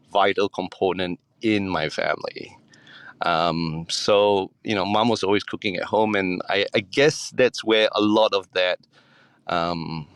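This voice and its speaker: A man from Singapore, a chef guesting on a podcast, answering in a relaxed, hesitant way with many ums and restarts. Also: low voice, clean tone, no echo.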